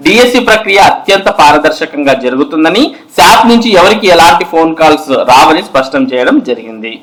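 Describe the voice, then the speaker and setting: A man's voice: a little high, fairly bright tone, rough recording. A man explains news updates step by step in an instructional way, addressing viewers directly. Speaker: host of an education and job news channel.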